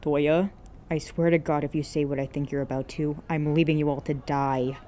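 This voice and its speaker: A woman's voice: low voice, level tone, normal speed, dark tone, a little hiss or hum, natural voice. A woman as a grumpy, curt teenage boy. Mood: grumbling, annoyed. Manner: curt, grumbling, sarcastic. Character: grumpy, sweary, exasperated teenage boy with soft heart. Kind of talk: acted dialogue in fan fiction reading.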